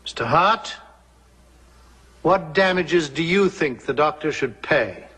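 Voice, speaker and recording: An actor playing an exacting older law professor in a film, lecturing formally and putting pointed questions in a reverberant hall. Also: male voice, a little high, lively intonation, slow, bright, forceful tone, breathy edge, exaggerated voice. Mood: stern, measured.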